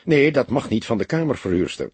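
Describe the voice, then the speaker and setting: A man's voice: mid-range voice, big pitch swings, fairly quick, a little mellow, slightly rough, no echo. Een man met een Nederlands en hese stem speelt in een luisterboek een jonge kantoormedewerkster die ontwijkend en stug antwoordt. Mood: evasive, hesitant. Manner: evasive, hesitant, reluctant. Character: guarded, curt young female office worker under questioning.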